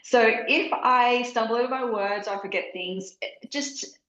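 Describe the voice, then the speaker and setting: A woman with an Australian accent speaks over a dull, echoey video call line. She talks in a halting way, full of fillers and restarts, with her voice in a middle range. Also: fairly high pitch, a bit bright. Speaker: software company co-founder. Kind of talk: casual self-introduction.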